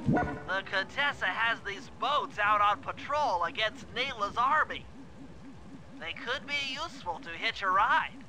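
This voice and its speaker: A man's voice: high voice, expressive, unhurried, music in the background, rough recording. An actor playing an earnest male sidekick who gives mission briefings in a game. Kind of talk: game dialogue.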